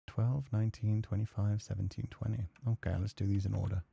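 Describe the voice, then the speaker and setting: A man's voice: lowish voice, flat delivery, fairly quick, vocal fry, dull audio, noisy background. A man doing casual let's play commentary, muttering sweary asides and reading bits of game text aloud to himself. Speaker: gaming streamer.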